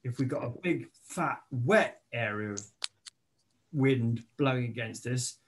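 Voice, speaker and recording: A man's voice: medium pitch, measured pace, a little hiss or hum. A man on a club video call explaining a point hesitantly, with a self-deprecating manner and repeated words. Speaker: club member asking a question. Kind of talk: casual explanatory chat.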